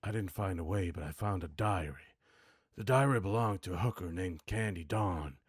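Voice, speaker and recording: Man putting on a low, brooding noir detective. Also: husky, no room sound, studio quality. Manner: deliberate, grim noir delivery. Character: brooding world-weary hard-boiled male detective narrator. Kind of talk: video game noir monologue.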